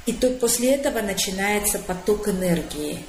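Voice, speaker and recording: A woman's voice: medium pitch, animated, moderate pace. A woman coach hosting a live stream, explaining psychology ideas in numbered points and thinking aloud with fillers. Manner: thinking aloud with fillers and restarts, emphatic lists. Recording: roomy echo with faint room noise.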